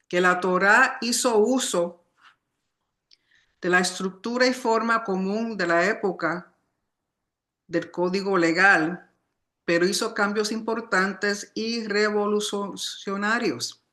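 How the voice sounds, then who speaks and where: A woman's voice: on the low side, fairly bright tone. A woman giving a religious study lecture in a didactic, explanatory manner. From Puerto Rican family, born outside the island. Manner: didactic, explanatory, often reminding listeners. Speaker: religious teacher.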